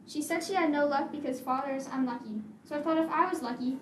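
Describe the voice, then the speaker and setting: Very high anxious puppet-show character, voiced by a woman. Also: fast talker, a little hiss or hum, lightly acted. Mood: anxious, earnest. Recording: distant echoey live show with crowd.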